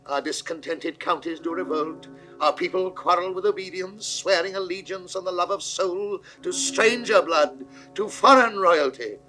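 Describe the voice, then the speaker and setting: A man's voice: sits high, moderate pace, bright, forceful tone, soft background music, low-quality recording. An actor playing a proud, anxious, imperious king in grand, declamatory 1960s Shakespearean style. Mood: imperious, urgent. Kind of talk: 1960s classical Shakespearean recorded acting.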